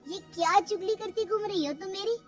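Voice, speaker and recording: A quick-tempered young brother in a cartoon family story acted by a woman narrator, high in pitch and confrontational. He speaks in sharp accusations, with music underneath. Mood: angry.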